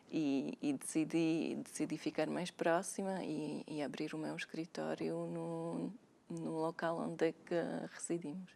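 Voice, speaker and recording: A woman lawyer with a Slovak accent, a Slovak native speaker, answering interview questions in a measured, hesitant way with frequent fillers and restarts. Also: on the low side, vocal fry, a little mellow. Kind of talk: interview conversation.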